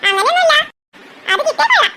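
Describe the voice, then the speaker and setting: Woman voicing a very high-pitched cartoon girl. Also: big pitch swings, very fast, very noisy. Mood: eager, childlike. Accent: Kerala Malayalam. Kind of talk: homemade comedy cartoon dialogue.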